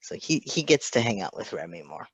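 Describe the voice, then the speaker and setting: A woman's voice: very deep voice, very animated, brisk, husky, no echo, low-quality recording. A woman chatting casually and enthusiastically about a story as co-host.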